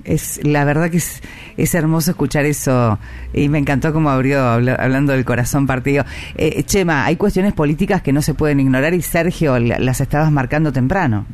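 A man co-hosting a casual radio chat, speaking warmly and appreciatively. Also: on the high side, brisk.

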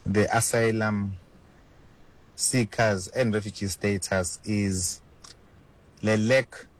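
Slow, deliberate caller. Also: male voice, on the low side. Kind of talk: voice note to a radio show.

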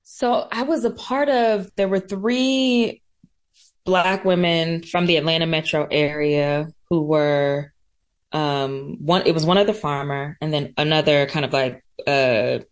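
An American woman speaks as a guest in a conversational interview. Her voice is very low for a woman, and she is thoughtful, often searching for how to phrase things. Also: a little slow. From coastal North Carolina, US. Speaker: interview guest, farmer and florist.

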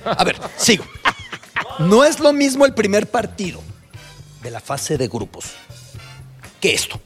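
A man's voice: sits high, wildly swooping pitch, a little slow, a bit bright, faint music behind. A man with a Mexican accent talking football on a panel, explaining and listing his points emphatically. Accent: Mexican Spanish. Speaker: football commentator on a panel show. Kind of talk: animated sports panel talk.

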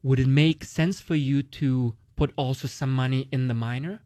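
A man's voice: medium pitch, fairly even intonation, normal speed, warm tone, no room sound. Romanian-accented man explaining investments. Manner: uses many "like" and "you know" fillers, explains with analogies. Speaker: investment guest. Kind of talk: casual explanatory conversation.

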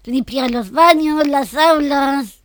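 A woman in her forties from Argentina giving simple, halting answers as an interview guest. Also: high voice, no room sound. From Argentina, Buenos Aires. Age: 40s. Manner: hesitant, halting, short answers.

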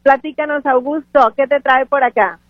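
A woman's voice: sits high, fairly even intonation, normal speed, dry recording. A woman hosting a live radio interview in an effusive, encouraging manner. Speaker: radio host interviewing at an event. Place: live remote broadcast from a conference. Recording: phone-like radio broadcast sound.

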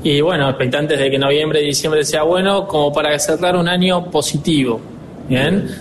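A man in his twenties with an Argentine accent, answering interview questions as a dealership director, earnest and upbeat, often pausing on fillers. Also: a little high, background noise. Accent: Argentine Spanish. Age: 20s.

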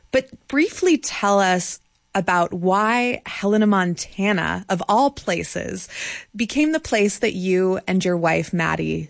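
A woman hosting a public radio book show, reading the introduction and asking interview questions in a measured way. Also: lowish voice, dry recording, studio quality. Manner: measured, clear read of sponsor credits.

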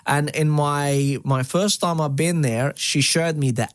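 A man's voice: on the high side, a little slow, no echo. Egyptian-born man telling his story, dry sound. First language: Arabic. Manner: hesitant, many fillers and restarts. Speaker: guest. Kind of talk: conversational interview storytelling.

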